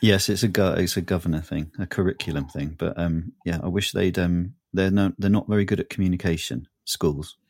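A man's voice: sits low, fairly even intonation, brisk, few pauses, a little mellow, dry recording, studio quality. A man with a sore, throaty voice, chatting casually as a podcast co-host, rambling and often laughing. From southern England. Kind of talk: casual chat.

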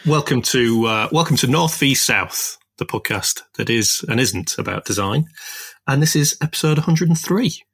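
A man's voice: medium pitch, animated, moderate pace, vocal fry, a bit bright, slightly rough, dry recording. A man hosting a podcast in casual chat, with a dry, teasing manner. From northern England. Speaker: podcast host.

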